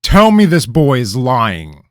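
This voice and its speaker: A man voicing a menacing, arrogant king who speaks slowly and loudly with threat in his voice. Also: mid-range voice, huge pitch swings, dry recording, clean studio sound, voice pushed up. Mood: furious. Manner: loud, slow, dangerous commands.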